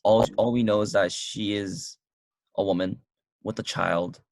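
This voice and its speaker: A man casually reviewing a film with a friend, talking in halting bursts with many restarts. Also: fairly low pitch, steady tone, creaky, no room sound, rough recording. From United States. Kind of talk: casual movie review chat. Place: car.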